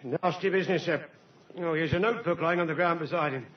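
Man playing a high-pitched, keen detective sergeant. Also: quick pace, natural voice. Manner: eager, respectful. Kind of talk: old-time radio acting. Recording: dull, noisy vintage radio recording.

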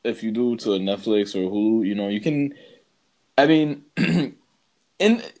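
Low-voiced man in a slangy rant. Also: animated, a little slow, a little mellow. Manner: emphatic, slangy, repetitive. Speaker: podcast co-host. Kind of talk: casual chat ranting about films.

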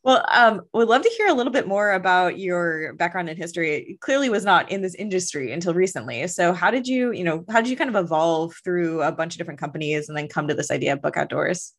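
A woman's voice: on the low side, lively intonation, fast talker, hardly any pauses. An American woman in her thirties speaking as the host of a podcast interview. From US Midwest, grew up in Chicago.

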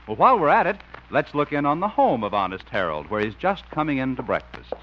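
A man announcing a 1950s radio show, reading scripted introductions in a theatrical, drawn-out way. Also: medium pitch, very animated, slight background noise. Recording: muffled old radio recording with music. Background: loud music.

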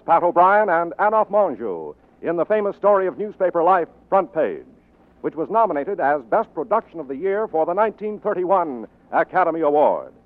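A man announcing formally in 1940s radio style, presenting a sponsored drama broadcast. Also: a little high. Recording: narrow-band 1940s radio recording.

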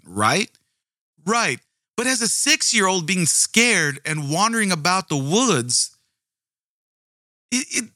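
Brief: animated, foul-mouthed male storyteller, projecting voice, somewhat high. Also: dry recording, clean studio sound. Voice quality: booming projecting voice. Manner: animated, profane, repeats words. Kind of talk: casual true-crime storytelling.

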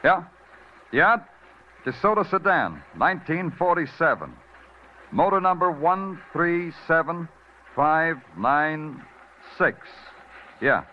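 An actor playing a brooding hard-boiled New York detective, clipped and dry, in an old radio crime drama. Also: male voice, on the high side, slight background noise. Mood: urgent. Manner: clipped, terse orders, dry brooding narration. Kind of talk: 1950s radio crime drama acting and narration. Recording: dull vintage radio broadcast recording.

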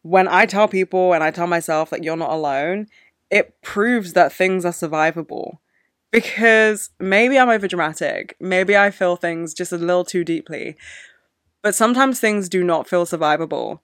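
A woman's voice: lowish voice, normal speed, no echo, studio quality. A young woman hosting a solo podcast, chatting off the cuff in a candid, emotional way and swearing freely into a microphone. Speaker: podcast host. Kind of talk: unscripted solo podcast chat.

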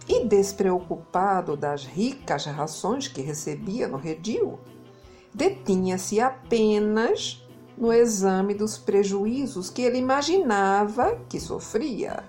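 A Brazilian woman narrating a children's story in an expressive, dramatic way, leaning on key words. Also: medium pitch, slow, soft background music. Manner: dramatic, emphasizes and repeats words. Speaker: children's storyteller, podcast host.

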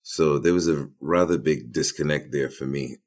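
Man, extremely low voice, reflective and halting guest. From Trinidad. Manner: reflective, halting, repeats words as he starts. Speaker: boom operator and filmmaker. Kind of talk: interview guest.

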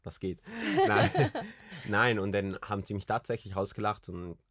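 Tiefe Stimme, junger Mann aus Kolumbien, trockener Klang, lockeres Plaudern mit vielen Füllwörtern. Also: male voice, on the low side, moderate pace, a bit bright, dry recording, studio quality. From Colombia. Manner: rambling, many restarts and fillers. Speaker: podcast co-host. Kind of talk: casual chat.

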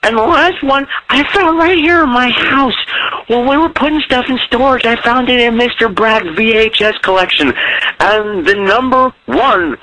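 A man's voice: very high voice, normal speed, pushed and bright, no echo, low-quality recording. A drunk man rambling in a campy, comic way as he leaves a casual voicemail over the phone. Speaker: listener phoning in a voicemail.

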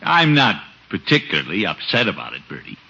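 A man's voice: low voice, huge pitch swings, a little fast, creaky, pushed and bright, husky, full character voice. A man playing a fretful, pompous middle-aged uncle, with hesitant, theatrical delivery in a 1950s radio comedy. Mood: wounded. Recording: muffled vintage radio recording.

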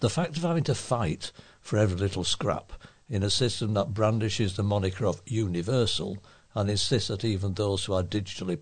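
A man reads local newspaper pieces aloud in a fairly low voice. His tone is a little husky, and the delivery is that of a volunteer reader working from a written text.

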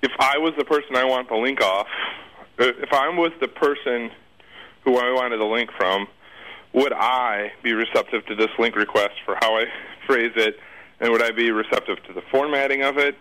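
A man's voice: mid-range voice, moderate pace, sharp tone, poor audio. A man giving casual interview answers as a guest, informal and rambling in the way he talks.

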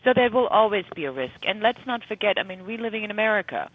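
A woman's voice: medium pitch, expressive, fast, husky, dry recording, phone-quality sound. A woman speaking earnestly and emphatically as a guest in a conversational radio interview.

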